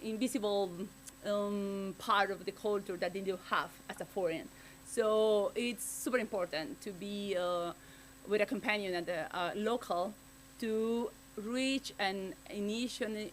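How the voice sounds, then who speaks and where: Woman researcher, English, halting speech, frequent restarts, panel talk, faint hum. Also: mid-range voice, fairly bright tone, rough recording. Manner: halting, searching for words, many restarts and fillers. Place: conference panel.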